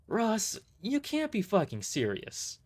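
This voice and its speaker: A man's voice: high-pitched, huge pitch swings, slow, pitched above the performer's own voice, full character voice. A man voicing a furious, foul-mouthed small woman squad leader in an indignant shriek for an audio drama. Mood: shrieking fury. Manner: indignant, swearing, emphatic.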